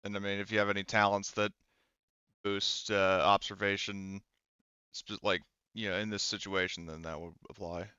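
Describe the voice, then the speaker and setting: A man running a tabletop role-playing game as game master, casual and halting as he thinks aloud. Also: lowish voice, level tone, sharp tone, clean tone, no room sound. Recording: dull, lo-fi sound.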